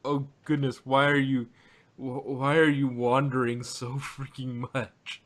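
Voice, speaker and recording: A man hosting a show in casual banter, speaking haltingly and often repeating himself. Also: medium pitch, little pitch movement, fairly bright tone. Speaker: host of an audio drama review.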